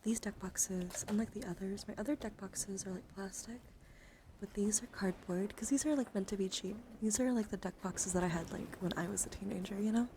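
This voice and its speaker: A woman livestreaming in a casual ASMR style, chatting softly and informally to viewers and often slipping into a breathy whisper. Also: medium pitch, brisk, background noise. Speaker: livestreamer hosting a card deck stream.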